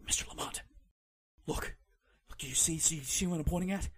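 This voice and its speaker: A man's voice: a little high, brisk, slightly rough. A man playing a jittery, easily frightened bookkeeper who stammers nervously in old-time radio horror style.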